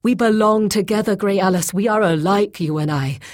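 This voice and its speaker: Proud shapeshifter man played by a female reader. Raised, loud, husky, wildly imploring, fantasy audiobook. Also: lowish voice, animated, normal speed, voice pushed up. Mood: eager pleading. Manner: urgent, imploring, intense delivery. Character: proud, passionate male shapeshifter, pleading wildly.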